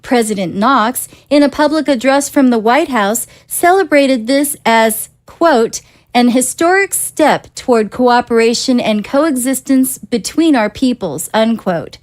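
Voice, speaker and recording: A woman voicing a television news anchor reading bulletins. Her delivery is measured and formal, like a studio broadcast. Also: on the high side, expressive.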